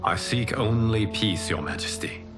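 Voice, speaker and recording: An actor playing a calm, wise male demigod in a video game cutscene, speaking in a measured, solemn way. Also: fairly low pitch, over music. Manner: measured, solemn, soft-spoken. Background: orchestral game score.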